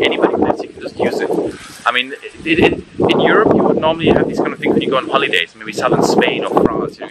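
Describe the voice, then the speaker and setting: A man talking casually and chattily to his viewers as a vlogger. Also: mid-range voice. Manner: chatty, addresses viewers directly. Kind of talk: casual vlog talk to camera. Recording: noisy on-location audio with vehicle ambience.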